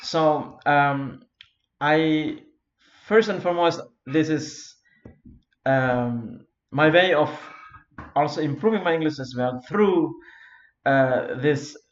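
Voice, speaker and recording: A man from Flores in eastern Indonesia with an Indonesian accent, giving a simple self-made lecture in English, often pausing with fillers and repeated words. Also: a little high. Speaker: founder of an English learning community. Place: Europe. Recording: roomy, dull lo-fi recording.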